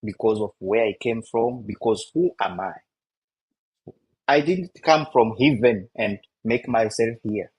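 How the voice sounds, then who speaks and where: A young man with a Rwandan accent, Kinyarwanda his first language, talking earnestly in a casual discussion and asking rhetorical questions in halting English. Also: medium pitch, slightly rough, low-quality recording. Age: young adult. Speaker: youth discussion guest.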